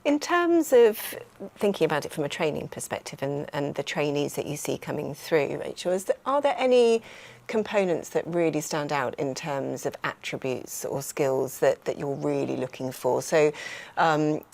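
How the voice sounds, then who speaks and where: A woman's voice: low voice, lively intonation, normal speed, vocal fry, a little mellow. A woman hosting a conversational podcast interview, putting questions in a wordy, roundabout way. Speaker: host and recruiter.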